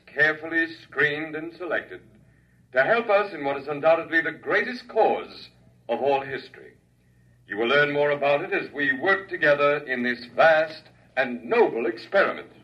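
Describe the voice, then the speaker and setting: Dignified alien orator, bright, swooping delivery. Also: male voice, fairly high pitch, expressive, moderate pace, muffled recording. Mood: reassuring, formal. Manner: stately, formal, reassuring, grandiose. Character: tall, dignified alien lecturer disguised as bearded elder gentleman. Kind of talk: classic radio drama acting, formal oration. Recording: distant-miked vintage radio recording.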